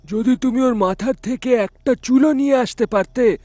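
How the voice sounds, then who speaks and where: A greedy, eager fellow from a horror folktale, played by a man. His exclamatory voice sits very high and sounds dry and close. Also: normal speed, a little mellow, dry recording, faint music behind. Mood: greedy, scheming. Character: greedy male friend of a rickshaw driver.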